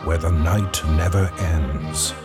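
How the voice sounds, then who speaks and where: A man announcing a radio drama program in a measured, formal delivery. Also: deep voice. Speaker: host and announcer. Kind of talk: scripted announcing. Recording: music behind.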